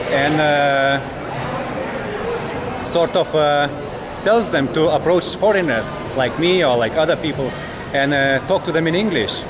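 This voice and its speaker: Hesitant middle-aged male vlogger, street noise. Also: fairly high pitch. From Europe. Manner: hesitant, halting, many filler pauses. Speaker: travel vlogger. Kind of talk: casual walking narration. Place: busy city streets outdoors.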